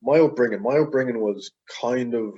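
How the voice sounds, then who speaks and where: A man's voice: medium pitch, normal speed, mellow, breathy edge, lo-fi sound. A man, a podcast guest talking casually in a rambling way full of fillers. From Ireland. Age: 20s. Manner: rambling, heavy with fillers and hedges. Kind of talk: casual interview chat.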